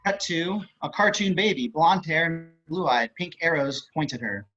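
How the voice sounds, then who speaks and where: A man's voice: fairly high pitch, animated, a little slow, bright, forceful tone. A man reading stage and screen directions aloud as scripted narration. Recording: muffled online call audio.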